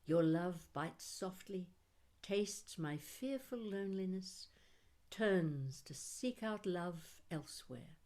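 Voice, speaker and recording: A mature woman performs spoken-word poetry in a fairly low voice. She takes her time, placing each phrase deliberately and leaving dramatic pauses between them. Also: animated, darkish tone. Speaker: poet reading own work.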